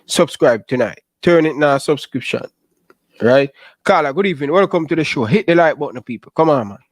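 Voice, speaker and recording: Upbeat Jamaican stream host. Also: male voice, a little high, animated, a little fast, dry recording. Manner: upbeat, hypes viewers. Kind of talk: live-stream show hosting.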